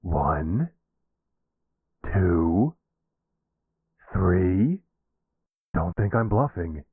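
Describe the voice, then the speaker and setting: A man plays an anxious boyfriend in an intimate roleplay drama. His voice is very deep and husky, and he talks slowly and earnestly. He keeps pressing his partner with pleading, exasperated concern. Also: very animated, no echo, phone-quality sound, heavily acted. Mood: anxious, insistent.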